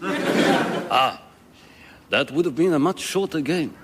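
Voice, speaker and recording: High-voiced wry rival negotiator. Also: male voice, slow, very noisy. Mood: wry, contradicting. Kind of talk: radio sketch comedy acting.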